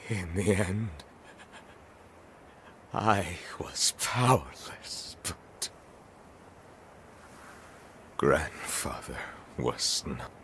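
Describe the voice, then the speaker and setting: A man's voice: low-pitched, very animated, drawn-out words, pauses often, fairly bright tone, slight background noise, exaggerated voice. A man playing a proud, grim samurai warlord in a video game cutscene, with a theatrical, portentous delivery. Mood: grim, resolved.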